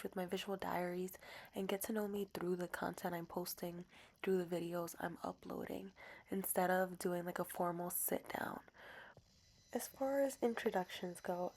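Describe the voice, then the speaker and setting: A woman's voice: fairly low pitch, darkish tone, slight background noise. A young woman in her twenties with a New Jersey accent talks through her diary vlog in a chatty, playful way. Spanish was her first language, and she leans on emphatic repeats to drive a point home. A faint room ambience sits under her voice. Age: 20s. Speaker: vlogger. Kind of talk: casual diary vlog voice-over.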